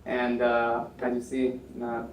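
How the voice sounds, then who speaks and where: A man's voice: medium pitch, monotone, takes it slowly. American young man talking in an echoey room. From United States. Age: young adult. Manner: rambling, many fillers and restarts. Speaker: panel speaker. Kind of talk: casual storytelling talk. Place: lecture room. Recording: echoey room, mic far off, faint noise.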